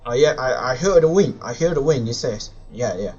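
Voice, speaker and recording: Man, casual game streamer, excitable, repetitive, lo-fi dull mic, faint background music. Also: mid-range voice, fairly quick, husky. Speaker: live streamer playing a video game. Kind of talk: casual game livestream reaction.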